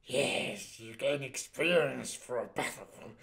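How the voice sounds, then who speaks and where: Slow, hesitant man reading game text. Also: medium pitch, gravelly. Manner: hesitant, many fillers and restarts, reads dialogue in flat voice. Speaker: let's play player. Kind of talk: casual gameplay commentary, reading game text aloud.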